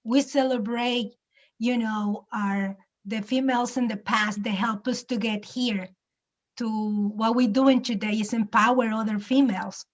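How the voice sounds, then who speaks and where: A woman's voice: fairly high pitch, fairly even intonation, measured pace, dull audio. A woman from Mexico with a Mexican Spanish accent, speaking hesitantly and gratefully on a video call. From Mexico, Veracruz coast. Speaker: conference guest. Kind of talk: casual chat on a video call.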